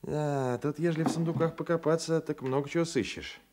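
An actor playing a blunt, loyal provincial Cossack sergeant, folksy and emphatic, in a radio play. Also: male voice, on the high side, fairly even intonation, brisk, noisy background, natural voice. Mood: wry. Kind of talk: Soviet radio play acting.

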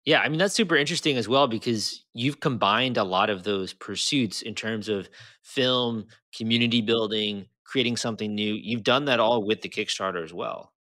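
A man hosts an interview podcast in a conversational style. His voice sits a little low and has a bright, crisp edge as he responds and asks questions. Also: normal speed. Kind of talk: casual interview.

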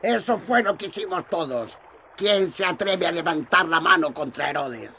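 A woman's voice: on the low side, animated, moderate pace, sharp tone, a little hiss or hum. An actress playing an older widow who sells pastries in a radio drama, lamenting, teasing and gossipy, with a cackle in her manner.

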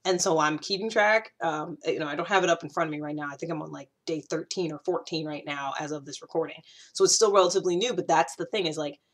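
A woman's voice: deep voice, fast talker, few pauses. An American woman in her thirties talking casually to camera, conversational and emphatic, repeating points for stress. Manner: conversational, animated gesturing, emphatic repetition. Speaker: YouTube host sharing self-growth advice. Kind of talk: casual direct-to-camera talk.

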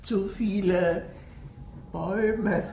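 An actress playing a suspicious, commanding, bedridden old lady in a radio play, ominous and halting. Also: mid-range voice, fairly even intonation, warm tone. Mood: fearful warning. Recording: roomy, with noticeable background noise.

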